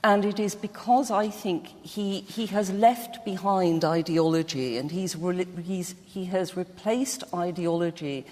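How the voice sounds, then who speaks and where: A woman speaking as a panel guest in a literary discussion, with emphatic, deliberate phrasing. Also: lowish voice, darkish tone. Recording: reverberant hall.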